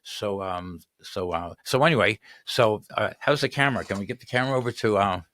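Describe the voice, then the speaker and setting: A man's voice: lowish voice, a little fast, a bit bright, no echo. An older man hosting a casual studio interview, rambling and repeating himself as he talks. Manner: rambling, repeats words, laughs often. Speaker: host. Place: small studio with colorful backdrop. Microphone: studio mic on arm.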